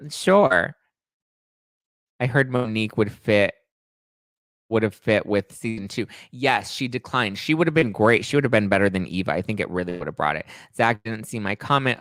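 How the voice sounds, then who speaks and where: A man's voice: medium pitch, fairly bright tone, no room sound. A man in his late twenties hosting a live stream, reading viewer comments aloud and answering them in a candid, chatty way. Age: 20s. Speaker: podcast host reading live chat. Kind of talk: casual live-stream chat.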